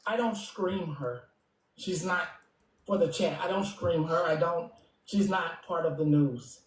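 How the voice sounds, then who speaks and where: A man's voice: fairly high pitch, measured pace. A man speaking as a pastor on a live panel, defensively explaining himself at length. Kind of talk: casual conversation on a live panel. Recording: roomy, distant mic with noise.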